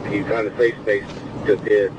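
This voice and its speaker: A man's voice: on the low side, little pitch movement, moderate pace, recorded from a distance. A man speaking as a police dispatcher over the radio, repeating reports in a clipped, businesslike, procedural way. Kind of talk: procedural radio read-backs. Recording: very noisy police radio recording. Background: loud vehicle noise.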